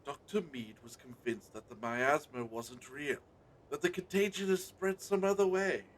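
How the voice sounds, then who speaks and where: A man playing a dutiful village gentleman and husband in a period audio drama, formal and placating. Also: normal speed, lightly acted. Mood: earnest, firm. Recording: faint noise with low rumble.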